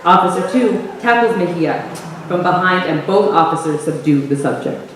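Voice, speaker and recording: A woman's voice: lowish voice, lively intonation, normal speed. A woman hosting and narrating a staged reading with scripted stage narration. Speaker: narrator and audience host. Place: theater hall, recorded from the audience. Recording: roomy, distant stage recording with faint noise.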